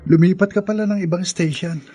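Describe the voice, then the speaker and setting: A man's voice: high voice, steady tone, fairly quick, dark tone, soft background music, lightly acted. A man playing a teasing, supportive young buddy in a Filipino radio drama.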